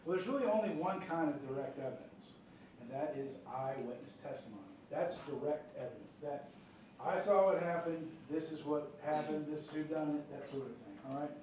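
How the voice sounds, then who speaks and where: A man's voice: a little high, normal speed, darkish tone. Man explaining law to a jury, echoey courtroom. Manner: conversational, many fillers and check-ins like "okay?". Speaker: prosecutor questioning jury panel. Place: large courtroom. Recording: echoey courtroom picked up from far off, light noise.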